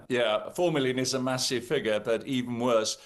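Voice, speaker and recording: A man with a Welsh accent holds forth as a political commentator on a talk radio show. His voice is middle-pitched and his delivery is emphatic. He keeps it going with frequent ums and uhs. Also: moderate pace. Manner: animated, emphatic, frequent "uh" and "um" fillers. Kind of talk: live radio discussion.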